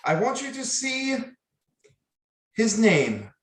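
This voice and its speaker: A man acts out a dead husband's spirit in an old radio play. The words come very slowly and solemnly, high in pitch, with tender worry. An echo hangs around the voice. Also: huge pitch swings, full character voice. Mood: worried, tender. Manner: solemn, drawn-out, halting. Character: tender, worried ghost of a dead husband at séance. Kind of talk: old-time radio drama acting.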